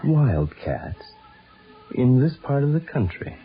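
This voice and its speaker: A man's voice: medium pitch, big pitch swings, takes it slowly. An actor playing a probing, doubtful man in a radio horror drama trailer. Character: low-voiced, probing man questioning a frightened woman. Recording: muffled radio trailer over music.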